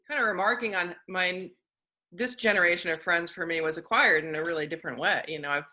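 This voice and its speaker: A woman hosting a video call, speaking in a reflective, soft-spoken way. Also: on the low side. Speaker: host. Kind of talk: casual video-call chat.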